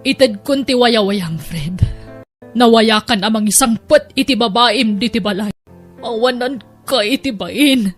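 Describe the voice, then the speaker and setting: A woman's voice: on the high side, measured pace, faint music behind. A woman with an Ilocano accent acting a hurt, angry, resolute wife and mother in a radio drama.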